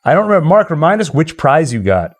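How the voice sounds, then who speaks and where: A man's voice: a little high, very animated, quick pace. A man hosting a casual livestream, chatting and speaking directly to viewers. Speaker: livestream host. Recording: music playing behind the voice. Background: game and tune music.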